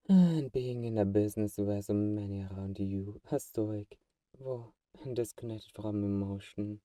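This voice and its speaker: A very mellow, dark-toned male vampire, played by a man speaks in an intimate audio drama monologue. He is teasing and possessive toward his hunter friend, with a slightly low voice. The sound is very dry, with no room around it. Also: no echo, far from the mic, close to an everyday voice. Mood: knowing, intimate. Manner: teasing, sighs and soft chuckles.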